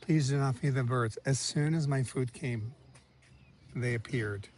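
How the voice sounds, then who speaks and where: A man's voice: mid-range voice, unhurried, a little mellow, a little hiss or hum. A man vlogging his trip outdoors in a village, chatting casually and muttering asides, sometimes in a whisper. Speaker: travel vlogger. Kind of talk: casual walking vlog commentary. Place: outdoors in a village street and on a café terrace.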